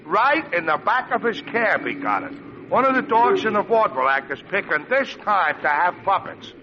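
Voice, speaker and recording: High-voiced muddle-headed cabbie, old radio. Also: male voice, sing-song, normal speed, bright, forceful tone, full character voice. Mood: comic earnestness. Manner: slangy present-tense talk with malapropisms. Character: excitable, muddle-headed comic male cab driver. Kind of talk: 1940s radio comedy acting. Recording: old, noisy, muffled radio drama recording.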